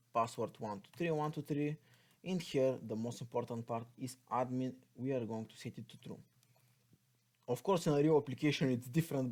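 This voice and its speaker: A man's voice: mid-range voice, a little mellow. A man with a Bulgarian accent gives an unscripted, step-by-step coding walkthrough, thinking aloud as he types and spells out code. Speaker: programming tutorial instructor.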